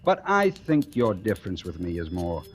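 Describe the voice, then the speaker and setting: An actor playing a proud, romantic wandering painter in 1940s radio drama style, speaking in measured, poetic, literate phrases. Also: male voice, low voice, big pitch swings. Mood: cool, needling. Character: proud romantic itinerant painter, thoughtful and defiant. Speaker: lead actor and narrator. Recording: old radio recording with music.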